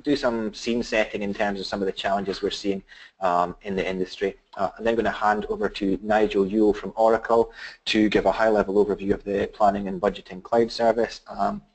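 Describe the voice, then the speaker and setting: A middle-aged man with a Scottish accent presenting a business webinar, halting with many fillers and restarts. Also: on the low side, level tone, rough recording. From Scotland.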